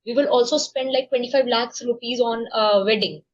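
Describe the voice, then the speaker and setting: A woman's voice: a little high, steady tone, a little fast. A woman hosting a casual conversation, talking fluently and persuasively. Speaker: host. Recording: dull lo-fi sound.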